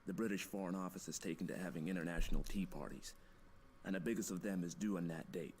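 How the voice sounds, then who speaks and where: A low, grave American fugitive in a period thriller game. He lays out his secrets urgently and emphatically, deep in pitch, over faint background ambience. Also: fairly even intonation, fast, darkish tone, a little hiss or hum. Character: urgent, conspiratorial American man on the run in 1914. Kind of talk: dramatic game voice acting, monologue.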